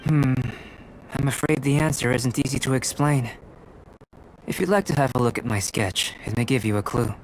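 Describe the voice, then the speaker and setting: An actor playing a young male alchemist who speaks in a calm, measured and lecturing way. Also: medium pitch, slight background noise, natural voice, rough recording. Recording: backing music.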